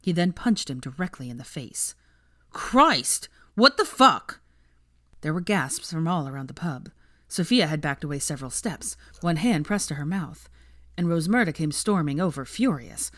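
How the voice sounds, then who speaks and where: Woman audiobook narrator, low register, dry close sound, expressive dramatic reading of fan fiction. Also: moderate pace, dry recording.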